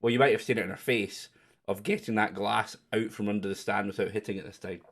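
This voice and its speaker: A man hosting a livestreamed quiz in a chatty, teasing way. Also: quick pace. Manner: teasing, chatty, lively jumps in pitch. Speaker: quiz host. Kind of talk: casual livestream chat.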